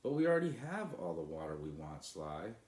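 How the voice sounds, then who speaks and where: A man's voice: low voice, big pitch swings, fairly quick, a little mellow. Man doing a loyal talking dog for a kids story, sensible n reassuring, echoey room. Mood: calm. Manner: reassuring, reasoning. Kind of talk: children's storybook read aloud.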